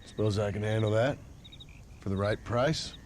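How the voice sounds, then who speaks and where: An actor playing a laconic, polite but menacing Western drifter in a video game, with a dry, understated delivery. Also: male voice, fairly low pitch, normal speed, a little mellow, a little hiss or hum, close to an everyday voice. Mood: dry, wry. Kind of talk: video game cutscene acting.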